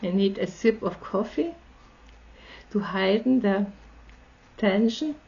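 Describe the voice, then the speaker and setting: Slow-reading Austrian woman. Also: medium pitch, lively intonation, gravelly, a little mellow. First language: German. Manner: slow, friendly, chatty asides. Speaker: channel host. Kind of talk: reading a story aloud. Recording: slightly dull lo-fi audio.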